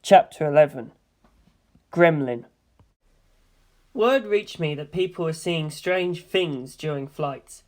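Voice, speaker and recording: Narrator for first-person fiction, medium pitch, scripted audiobook read. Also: animated, takes it slowly, long pauses, a little mellow.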